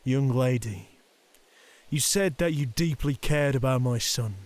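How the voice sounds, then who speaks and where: A man acts out a stern, middle-aged crime boss in an audio drama. He is proud and formal, taking his words slowly, with a medium-pitched voice built for giving orders. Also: darkish tone, lightly acted. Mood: resigned, softening. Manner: commanding, formal, deliberate. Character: stern, proud Yakuza boss, a conflicted father. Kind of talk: audio roleplay drama acting.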